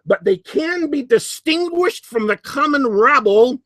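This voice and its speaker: Guy doing a solo podcast rant, slow and deliberate, dry close mic, sardonic asides. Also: very high-pitched, lively intonation, pushed and bright, no echo. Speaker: podcast host. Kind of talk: solo commentary.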